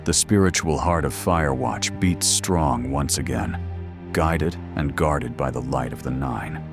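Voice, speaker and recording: A man voicing a solemn, pious knight in a grave, formal, measured monologue for a fantasy game roleplay. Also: a bass voice, vocal fry, over music, voice pushed down, full character voice. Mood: solemn devout. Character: solemn pious male knight, humble crusader. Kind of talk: scripted in-character monologue.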